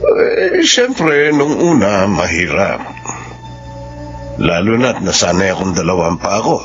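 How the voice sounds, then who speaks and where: An actor with a Filipino accent playing a friendly, teasing shop owner in a conversational radio drama scene. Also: male voice, sits low, big pitch swings, slow, heavily acted. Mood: reflective. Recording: dull audio, faint music.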